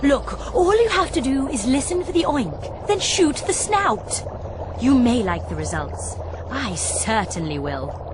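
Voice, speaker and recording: A woman's voice: high-pitched, sing-song, a little slow, background noise. A woman playing a vain, bossy, gluttonous grande dame in a video game, pompous and theatrical in delivery. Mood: haughty, greedy. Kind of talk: video game character acting.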